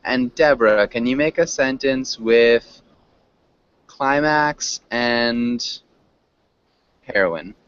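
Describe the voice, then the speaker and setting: A man's voice: mid-range voice, slow pace, long pauses, a bit bright, smooth, dry recording. A man teaching an interactive online vocabulary class, encouraging students and prompting them with questions. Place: online group video class. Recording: dull, lo-fi online call audio.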